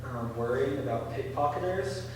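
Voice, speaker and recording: A teenage boy gives a casual presentation in a senior center hall, stopping often for ums and likes. He sits at a middle pitch, caught from a distance on a room mic. A long, echoey reverb and a faint hiss hang around his words. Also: expressive, darkish tone. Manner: halting, full of ums and likes. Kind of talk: informal talk to an audience.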